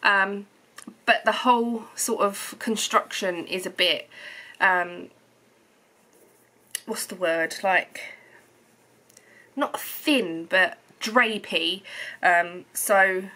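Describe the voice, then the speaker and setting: A bright-voiced woman in her thirties chats casually to camera from her living room. She sounds a little hoarse and phlegmy from a cold and rambles with plenty of ums and restarts. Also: medium pitch, very slow, long pauses. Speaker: knitting vlogger.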